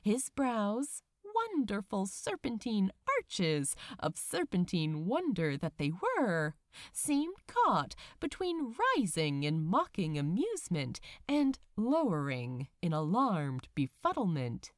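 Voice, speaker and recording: A woman's voice: medium pitch, wildly swooping pitch, takes it slowly, dry recording, clean studio sound. A woman narrating a story dramatically, with an emotive, theatrical delivery. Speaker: narrator of fan fiction audiobook.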